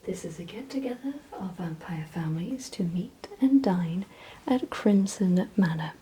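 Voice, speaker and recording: A woman whispering breathily in an ASMR vampire roleplay, speaking deliberately to the listener as a gently instructing host. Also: on the low side, expressive, a little hiss or hum. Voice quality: breathy, mostly unvoiced whisper.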